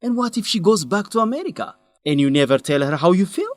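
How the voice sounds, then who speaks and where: An earnest young guy in a radio play, fairly high voice that swings up and down a lot, lively and scolding, recorded close and dry with no room sound. he presses his friend hard and sounds sure of his own principles. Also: fast, no room sound. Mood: pleading, scolding. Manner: animated, urging, scolding. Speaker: friend and fellow researcher. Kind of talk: radio drama acting.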